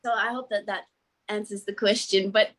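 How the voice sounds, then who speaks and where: A woman's voice: medium pitch, fairly even intonation, normal speed. Earnest Australian woman, flowing delivery. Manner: earnest, flowing, often adds "you know". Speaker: guest speaker. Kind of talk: reflective interview answers.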